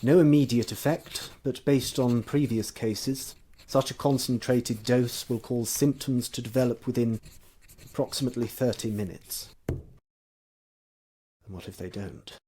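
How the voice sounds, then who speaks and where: An actor playing a cold, menacing Victorian gentleman scientist in a stage drama, clipped, curt and contemptuous. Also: medium pitch, a little slow, a little hiss or hum, close to an everyday voice. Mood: clinical. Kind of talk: theatrical stage drama acting.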